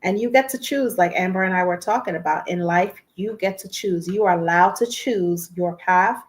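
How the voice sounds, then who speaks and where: A woman with a somewhat low, nasal voice that sounds congested. She hosts a casual livestream and speaks in an earnest, encouraging way, circling back to repeat her points. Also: normal speed. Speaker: livestream host.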